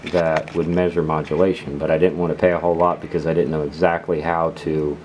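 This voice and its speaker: A man casually demonstrating gear as a video host, explaining things in a halting, unhurried way. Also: rumbling low, little pitch movement, darkish tone. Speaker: host of a radio gear channel. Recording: clearly noisy background.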